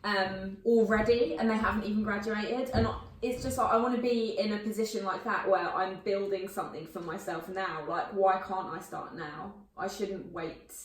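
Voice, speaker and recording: A woman's voice: mid-range voice, steady tone, normal speed, hardly any pauses. A young woman co-hosting a podcast, chatting casually with frequent fillers. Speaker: podcast co-host. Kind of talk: casual chat. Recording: very echoey room, mic at a distance.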